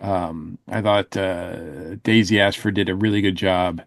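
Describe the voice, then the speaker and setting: A man on a podcast panel giving a casual review in a halting, thoughtful, hedging way. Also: low voice, steady tone, gravelly, no echo, clean studio sound.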